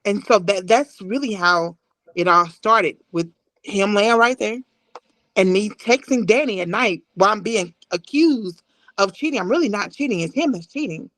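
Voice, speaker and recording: A woman in her thirties telling a personal story as an interview guest on a video call, deliberate and emphatic, repeating phrases. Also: mid-range voice, a bit bright, no room sound, poor audio.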